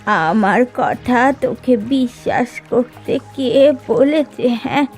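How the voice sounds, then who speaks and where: A woman voicing a scornful, defiant old village crone in a cartoon fairy tale, taunting and scolding in a creaky voice. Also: fairly high pitch, expressive, slow pace, a little mellow. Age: older. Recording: background music under dialogue.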